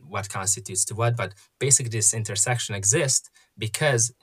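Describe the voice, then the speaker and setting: A man from Hungary, a podcast guest explaining investing in a measured way with frequent pauses and fillers. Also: on the low side, fairly bright tone. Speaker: guest, investment app founder. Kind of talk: podcast interview.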